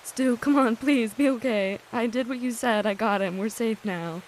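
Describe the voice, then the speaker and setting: A woman playing a frightened young hostage in an audio drama, speaking in an anxious, halting, pleading way. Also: fairly high pitch, slight background noise, close to an everyday voice, poor audio. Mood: shaken, pleading.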